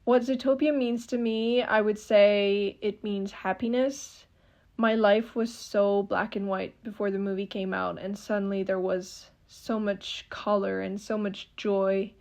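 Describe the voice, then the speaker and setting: A woman's voice: medium pitch, fairly even intonation, unhurried, darkish tone. A woman in her twenties from France with a French accent, earnestly reading out a prepared personal statement in a recording she sent in. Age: 20s. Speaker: listener submitting a recording.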